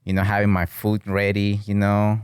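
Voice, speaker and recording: Guy in his 30s from peru, real low smooth voice, laid-back chatty interview guest, lots of "you know". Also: normal speed, no room sound, clean studio sound. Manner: relaxed and talkative, many "you know" and "right?", slips into Spanish. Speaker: guest, bartending business owner. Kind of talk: casual interview chat. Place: podcast studio with flags on the wall.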